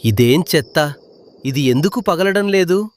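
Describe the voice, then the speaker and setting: A man's voice: fairly high pitch, lively intonation, a little slow. A man voicing a menacing but cowardly villain hawk in a children's cartoon. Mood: frustrated.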